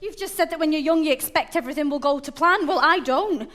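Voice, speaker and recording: A woman's voice: high-pitched, fast, loud music behind the voice, close to an everyday voice. A woman playing a frank, disillusioned young person in dialogue from a stage musical. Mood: disillusioned, blunt.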